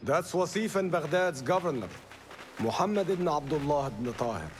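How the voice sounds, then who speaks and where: An actor playing a determined, impassioned rebel leader in a video game, resolute and expressive. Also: male voice, fairly high pitch, normal speed, soft background music, lightly acted. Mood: earnest.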